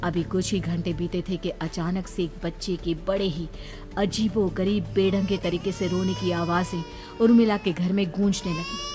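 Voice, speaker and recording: A woman's voice: lowish voice, steady tone, moderate pace, over music. A woman giving dramatic scripted narration of a horror story.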